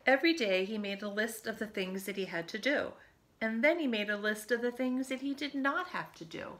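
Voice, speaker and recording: A woman's voice: a little high, a little fast, a bit bright. A woman in her fifties reads a picture book aloud to young children, expressively, with chatty asides, recorded at home. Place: living room at home, by a window.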